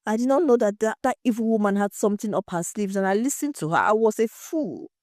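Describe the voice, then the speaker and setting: A woman's voice: medium pitch, lively intonation, fast talker, darkish tone, no room sound, studio quality, voice pushed up, natural voice. A woman voicing a tearful, guilt-ridden wife in an audio story, pleading and faltering as she confesses. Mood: anguished confession. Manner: wailing, pleading, faltering repetitions.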